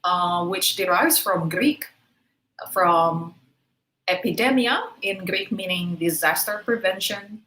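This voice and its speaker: Slow Indonesian-accented woman lecturing. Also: on the low side, bright, forceful tone, reverberant, poor audio. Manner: explanatory, checks in with "right?" and "okay". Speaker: webinar presenter. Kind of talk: academic lecture to teachers. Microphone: webinar computer mic.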